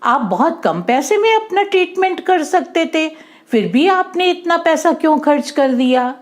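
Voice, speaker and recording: Lady doing a smart confident little boy in a kids story, high swoopy voice, explains stuff slowly. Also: big pitch swings. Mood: surprised, explaining. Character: clever, confident young boy giving simple advice.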